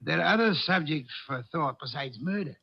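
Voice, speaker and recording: A high, gravelly voice for a fat, lazy older detective who hates to move, haughty and fussy in every word. It comes through a dull, lo-fi old radio recording. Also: male voice, expressive, moderate pace, heavily acted. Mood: imperious. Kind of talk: 1940s radio acting.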